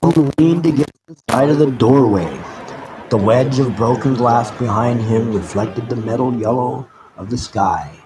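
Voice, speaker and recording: A man reading a novel aloud live as dramatic narration, with deliberate, halting phrasing. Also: medium pitch. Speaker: reader of an audiobook. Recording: noisy amateur live recording with background ambience. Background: background ambient sounds.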